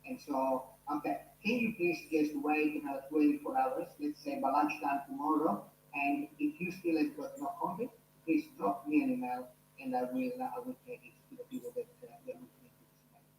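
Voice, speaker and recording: A man's voice: on the high side, brisk, a little mellow, reverberant, a little hiss or hum, rough recording. A man with an Italian accent, a university lecturer speaking to his online class in an earnest way, with many fillers and restarts. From Italy.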